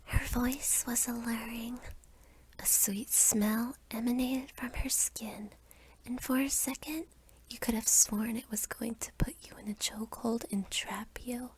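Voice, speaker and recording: A young woman narrating a scripted second-person ASMR story in a soft whisper. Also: fairly high pitch, steady tone, measured pace. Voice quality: whispery, breathy.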